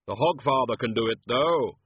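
A man's voice: mid-range voice, moderate pace, slightly rough, dry recording, lightly acted. A man voicing Death, a terse, commanding male spirit. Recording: tinny lo-fi audio.

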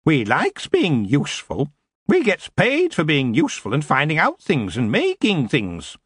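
A man's voice: a little high, wildly swooping pitch, unhurried, a bit bright, breathy edge, no echo, studio quality, pitched above the performer's own voice, heavily acted. A man voicing a stocky, defiant male goblin who talks in broken grammar with a cocky, mocking manner. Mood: cheeky.

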